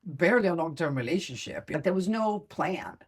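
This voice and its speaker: Middle-aged woman, podcast host, candid and chatty, lowish voice. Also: expressive, brisk, husky. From Sweden. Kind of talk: casual chat.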